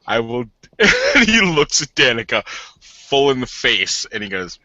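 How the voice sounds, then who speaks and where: A man's voice: mid-range voice, huge pitch swings, measured pace, bright, forceful tone, dry recording. A man casually narrating his character's actions in a tabletop roleplay, terse and amused, on a slightly dull close mic. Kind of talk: casual improvised game narration.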